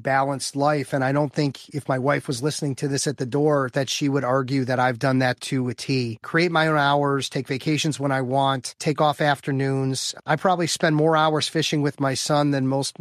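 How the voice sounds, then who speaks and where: A man's voice: a little high, fairly even intonation, moderate pace, few pauses, no room sound, clean studio sound. A man in his fifties from the Chicago area, a business coach answering interview questions in an enthusiastic, upbeat way, often repeating words as he builds a point. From Chicago area, US Midwest. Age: 50s. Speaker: guest, business development coach for lawyers.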